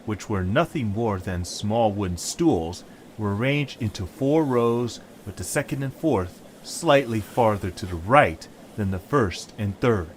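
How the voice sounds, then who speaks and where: A man's voice: fairly low pitch, animated, unhurried, a little hiss or hum, low-quality recording. A man reading scripted narration for an audio story. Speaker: narrator and lead voice actor.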